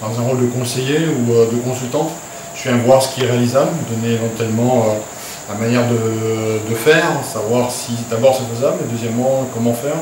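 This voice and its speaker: A man, a football coach acting as consultant, speaking in a measured, advisory way at a formal meeting. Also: medium pitch. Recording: very echoey room with background noise.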